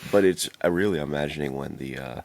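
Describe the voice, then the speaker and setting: A man's voice: a bass voice, fast talker, gravelly, darkish tone, no room sound. A man co-hosting a podcast in casual, informal chat with plenty of slang. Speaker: podcast co-host.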